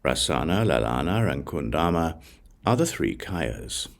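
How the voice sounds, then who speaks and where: A man narrating a Buddhist scripture as an audiobook, solemn and deliberate, in short measured phrases. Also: a bass voice, big pitch swings.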